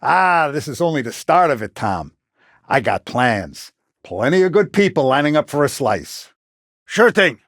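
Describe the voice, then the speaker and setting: An actor playing a tough, middle-aged Italian-American mafia boss in a video game, blunt and streetwise, with a commanding, vengeful manner. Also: male voice, a little high, big pitch swings, a little slow, a bit bright, no echo, clean studio sound, exaggerated voice. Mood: brooding, measured. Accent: American, Italian-American gangster. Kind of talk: video game voice acting, 1930s gangster talk.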